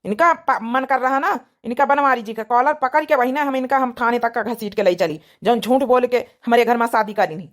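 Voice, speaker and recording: A woman's voice: fairly high pitch, fairly quick, few pauses, lightly acted. A woman voicing a hot-headed, protective young village brother in a cartoon comedy drama, insistent and argumentative with an Awadhi accent. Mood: angry, scornful.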